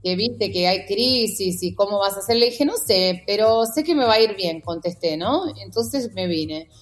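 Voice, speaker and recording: A middle-aged woman from Rio de Janeiro with a Buenos Aires accent, telling personal stories as an animated podcast guest. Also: mid-range voice, moderate pace, soft background music. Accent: Buenos Aires porteño Spanish. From Rio de Janeiro, Brazil. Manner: animated storyteller, acts out quoted conversations. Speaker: podcast guest, wedding photographer. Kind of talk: casual interview storytelling.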